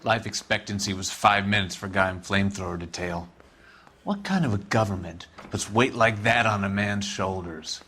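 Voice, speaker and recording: Low-voiced, bitter vagrant killer. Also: male voice, steady tone, pushed and bright, slight background noise. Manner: cold, contemptuous, deliberate. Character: bitter, defiant deranged ex-Marine vagrant murder suspect. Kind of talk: dramatic game acting.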